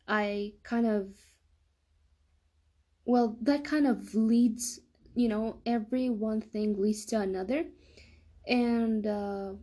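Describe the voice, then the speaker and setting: A woman's voice: mid-range voice, dark tone. A young woman talking casually in a solo podcast monologue, hesitant and often stumbling and rephrasing. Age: young adult. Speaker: podcast host.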